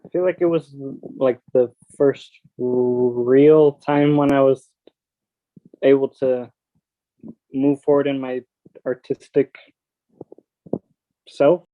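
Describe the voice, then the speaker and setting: A young man and former child cast member speaking slowly and thoughtfully about his artistic growth. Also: medium pitch, steady tone. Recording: phone-like narrow sound.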